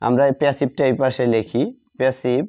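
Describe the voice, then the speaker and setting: A man teaching English grammar, repeating words slowly as he writes them out. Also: medium pitch, level tone, warm tone. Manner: slow, repeats words while writing them out. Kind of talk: slow classroom explanation while writing. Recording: dull lo-fi audio.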